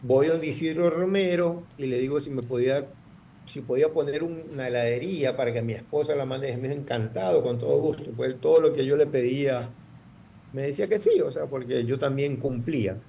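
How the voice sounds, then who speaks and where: A man's voice: mid-range voice, brisk, vocal fry, warm tone, slight background noise. A man, telling his story in an interview, rambling with many restarts. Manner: rambling, full of restarts and fillers. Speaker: guest entrepreneur.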